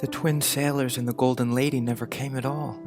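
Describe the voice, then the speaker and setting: Man playing an earnest, gravelly-edged young teen boy. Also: mid-range voice, steady tone, fairly quick, lightly acted. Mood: sober, disappointed. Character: earnest, affectionate thirteen-year-old boy, tall and dreamy. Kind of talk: dramatized audiobook character acting. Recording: music bed under the voice.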